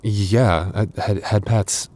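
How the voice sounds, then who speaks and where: A man voicing a tender, bashful young werewolf boyfriend in an intimate ASMR roleplay, halting and stammering, with breathy little laughs and sighs. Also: fairly low pitch, steady tone, a little mellow. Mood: shy, touched.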